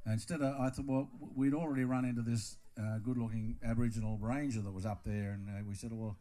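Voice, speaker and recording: A man's voice: medium pitch, fast. A man from Northern Victoria with an Australian accent, a singer-songwriter telling rambling stories with broad slang between songs at a live show. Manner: relaxed rambling yarn-spinner, broad slang. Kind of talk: storytelling between songs at a concert. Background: guitar playing.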